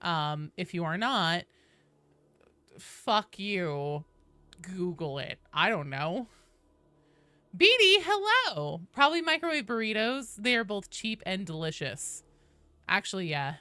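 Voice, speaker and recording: A woman streamer with a bright, crisp voice and lively, swinging pitch. She chats casually and informally with her viewers, swearing freely, on a close, dry-sounding recording. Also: slow, long pauses, dry recording. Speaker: live streamer hosting a game stream. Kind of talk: casual livestream chat with viewers.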